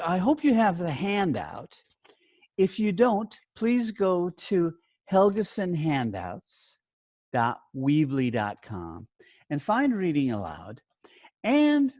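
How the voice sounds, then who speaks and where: A man teaches in a slow, deliberate way, breaking his instructions into short phrases. His pitch moves around a great deal as he stresses points and checks in with short questions. The sound is close and dry. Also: a little mellow, dry recording. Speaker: teacher trainer giving a lesson. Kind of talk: instructional talk to learner teachers.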